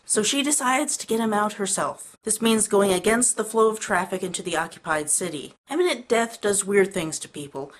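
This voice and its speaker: Low-pitched woman narrating a review. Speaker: video game reviewer. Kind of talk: scripted analytical narration.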